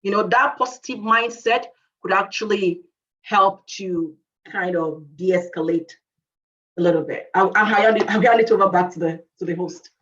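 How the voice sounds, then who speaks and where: A woman's voice: mid-range voice, moderate pace, fairly bright tone. A woman with an accent, giving earnest advice to young people as a guest speaker on a video call, often repeating her phrases. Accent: non-native English. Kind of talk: advice talk to youth. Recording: distant, muffled video-call audio.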